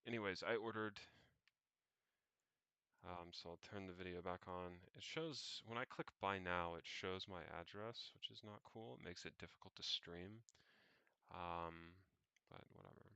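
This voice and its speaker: A man casually thinking aloud as he narrates his screen on a livestream, pausing mid-sentence and muttering to himself. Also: low-pitched, bright, forceful tone, smooth, no room sound. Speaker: solo livestreamer.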